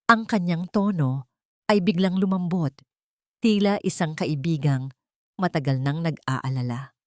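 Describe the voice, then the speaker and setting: A woman narrating a scripted dramatic love story in a measured, emotive way. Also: on the low side, a little mellow, dry recording, studio quality.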